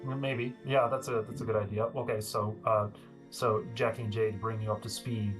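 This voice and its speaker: Male GM, lowish voice, talky and easygoing, music under him. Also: little pitch movement, moderate pace, rough recording. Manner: chatty, laughs often, many fillers. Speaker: game master. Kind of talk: narrating a tabletop game.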